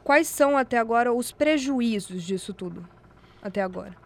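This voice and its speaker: A woman's voice: fairly high pitch, animated, normal speed. A woman with a Brazilian accent asking questions as an interviewer.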